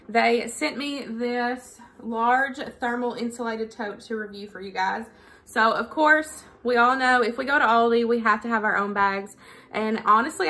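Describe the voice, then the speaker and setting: Chatty woman, higher voice. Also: pushed and bright. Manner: chatty, informal, folksy. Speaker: vlogger. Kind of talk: casual chat to camera, product review and haul. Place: living room at home.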